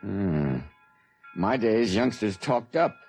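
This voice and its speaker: A grumbling, folksy news vendor in an old radio play. His voice is fairly low with lively intonation, over faint music. He sounds wry and avuncular. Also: slow. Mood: puzzled, grumbling. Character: folksy, grumbling small-town newsstand man. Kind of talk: 1950s radio drama acting.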